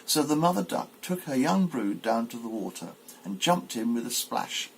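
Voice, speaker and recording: A man's voice: mid-range voice, very animated, normal speed, gravelly, slight background noise, low-quality recording. A man narrating a children's fairy tale expressively as a volunteer reader.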